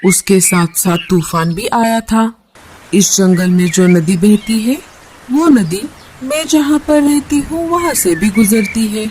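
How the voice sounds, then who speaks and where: A woman's voice: medium pitch, darkish tone, slight background noise, lightly acted. A woman playing a kind, caring old grandmother who explains things in a children's cartoon. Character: kind old grandmother living by a forest river.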